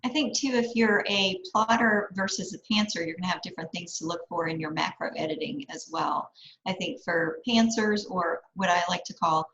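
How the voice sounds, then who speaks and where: A woman with a slightly low voice explains her points in a panel discussion. Her audio is roomy and echoing, with a somewhat dull, muffled sound. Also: moderate pace, fairly bright tone. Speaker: podcast panelist, writer.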